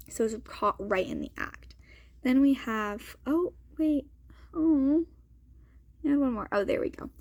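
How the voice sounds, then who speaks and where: A woman's voice: medium pitch, gravelly, a little mellow, no room sound. A young woman with a sniffly cold, chatting informally and thinking aloud as she presents a student vlog. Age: young adult. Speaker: student vlogger. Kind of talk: casual chatty vlog walkthrough.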